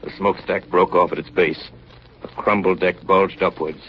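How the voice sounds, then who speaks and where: An actor playing a grave chief engineer in solemn, measured 1930s radio drama style, recounting an Arctic disaster. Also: male voice, deep voice, steady tone, husky. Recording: muffled, noisy 1930s radio broadcast recording.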